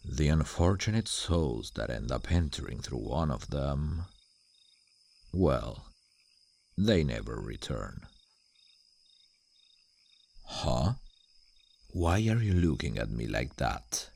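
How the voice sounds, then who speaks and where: Teasing ancient demon, extremely low pitch, slow deliberate pace, dramatic, condescending, ASMR roleplay. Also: male voice, a little mellow, full character voice. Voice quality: very deep, gravelly. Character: suave sardonic male demon guardian, ancient and teasing.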